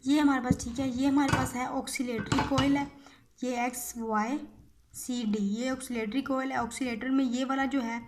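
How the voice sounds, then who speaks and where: A woman with a somewhat high-pitched voice teaches in an informal, explanatory way. She repeats phrases as she goes and punctuates points with brief check-in words. Faint noise and clicks sit in the homemade recording. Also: steady tone, moderate pace. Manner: repetitive, checking in with frequent tag words. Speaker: physiotherapy lecturer.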